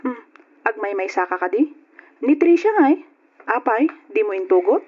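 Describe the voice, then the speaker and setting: A woman's voice: on the high side, very animated, normal speed, breathy edge. A woman with an Ilocano accent acting a sweet, considerate young student in an old radio drama. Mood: gentle, concerned. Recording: muffled old radio recording.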